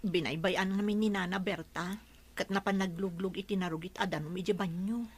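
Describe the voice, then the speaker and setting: A woman's voice: medium pitch, fairly even intonation, brisk, slightly rough, close to an everyday voice. An actress playing a humble, worried mother devoted to her child in a radio drama, with an Ilocano Filipino accent.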